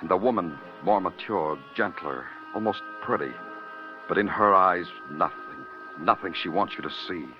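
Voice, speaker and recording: Man as hard-boiled American radio cop, low and terse, dry narration over music, dull vintage recording. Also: moderate pace, lightly acted. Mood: wry, brooding. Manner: deadpan, terse, musing. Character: wry, hard-boiled male police detective narrating his case. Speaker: detective and narrator. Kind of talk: 1950s radio noir acting.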